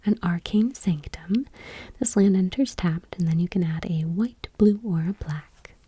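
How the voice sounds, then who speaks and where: A woman speaks in a very breathy, hushed whisper with a soft, dark tone. She reads item by item in ASMR style, gentle and unhurried, keeping her voice on the low side. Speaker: ASMR video host. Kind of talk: soft-spoken ASMR, whispered card reading.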